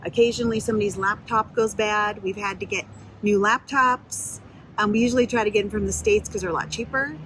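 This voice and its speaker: Missionary woman, medium pitch, plain conversational explaining for a promo interview, light ambience behind. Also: fairly even intonation, fairly quick, background noise. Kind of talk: casual promo video interview. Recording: faint background ambience.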